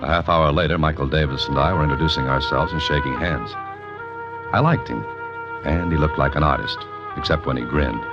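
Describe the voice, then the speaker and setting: An actor playing a laconic, wisecracking writer-adventurer with an American accent, narrating a 1940s radio mystery. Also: male voice, very deep voice, a little fast. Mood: wry narrating. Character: dry, wry writer and amateur adventurer, male narrator. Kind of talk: 1940s radio mystery acting, hard-boiled first-person narration. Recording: muffled 1940s radio recording with music.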